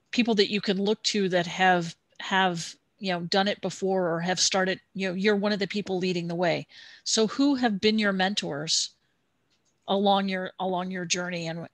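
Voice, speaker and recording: A woman's voice: on the low side, dry recording. Hesitant middle-aged woman interviewer on headset. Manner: hesitant, many fillers and restarts. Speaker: host. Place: home room.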